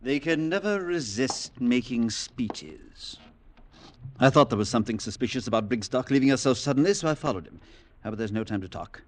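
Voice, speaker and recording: An actor playing a grave, resolute conspirator helping a friend, solemn and deliberate in 1940s radio style. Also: male voice, medium pitch, animated, a little hiss or hum, natural voice. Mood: urgent. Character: grave, resolute conspirator turned helpful ally. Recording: 1940s radio drama broadcast.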